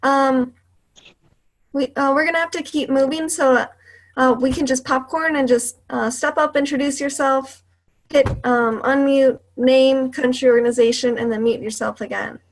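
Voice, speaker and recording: A woman's voice: sits high, fairly even intonation, moderate pace. A woman hosting a video call, calling on participants one by one in a breezy way. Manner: breezy, prompting speakers in turn. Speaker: call host.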